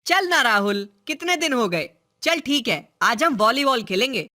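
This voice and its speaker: A woman's voice: a little high, lively intonation, normal speed. A woman voicing a bossy young schoolboy in a cartoon story who talks brashly. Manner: brash, shouting.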